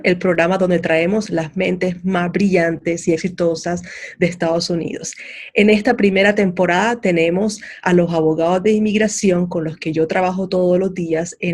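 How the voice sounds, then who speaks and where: A woman's voice: on the low side, a little mellow. A woman hosting an interview show, asking questions in a welcoming, conversational way. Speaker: host. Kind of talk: interview host.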